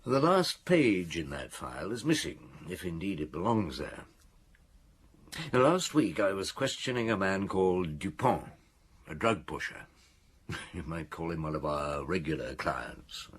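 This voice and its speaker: Deep, husky, brooding detective. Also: male voice, big pitch swings, a little slow, heavily acted. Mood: grave, reflective. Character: brooding, troubled male police detective recounting an old case. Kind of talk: audio drama acting.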